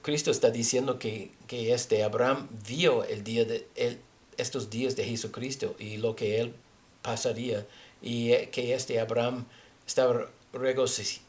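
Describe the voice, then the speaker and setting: A man with a foreign accent, a native English speaker, teaching scripture haltingly as he searches for words. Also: mid-range voice, steady tone. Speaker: religious teacher. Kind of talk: Bible teaching with scripture reading.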